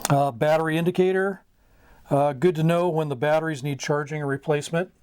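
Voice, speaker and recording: A middle-aged American man giving an informal instructional talk, going item by item in short, halting phrases. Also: fairly high pitch, steady tone, darkish tone, smooth. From United States. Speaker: amateur radio mentor, video host. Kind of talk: informal instructional explanation at a whiteboard. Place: small wood-panelled room indoors.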